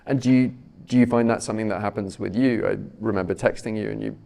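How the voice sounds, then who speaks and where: Man, interviewer, low, gravelly, measured, searching for words. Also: fairly even intonation, dark tone. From Britain. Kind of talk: onstage author interview.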